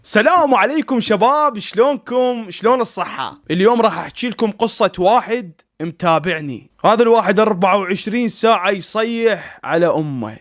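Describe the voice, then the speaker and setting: High-pitched, fast-talking Iraqi man. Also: expressive. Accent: Iraqi Arabic. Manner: chiding, big-brotherly, rhetorical questions. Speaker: storyteller and online content creator. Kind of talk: casual comedic chat in a cartoon.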